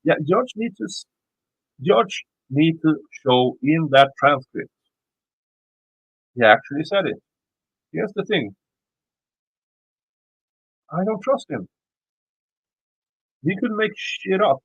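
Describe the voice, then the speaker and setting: A man with a Swedish accent reads dense legal text aloud in English, tripping over words and backing up to restart them, then cutting in with blunt asides of his own. His voice sits a bit high for a man and is slightly husky. It is recorded dry and a little muffled. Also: very animated, slow pace, pauses often, no room sound. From Sweden. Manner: stumbling, restarting words while reading. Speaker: YouTube commentator. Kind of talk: reading a legal filing aloud with commentary.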